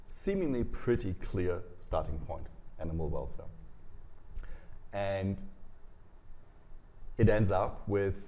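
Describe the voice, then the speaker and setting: A man in his forties hosting a studio interview, asking calm questions and murmuring along as his guest answers. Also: low-pitched. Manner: calm, questioning, with frequent soft backchannel murmurs. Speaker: host. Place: small indoor studio.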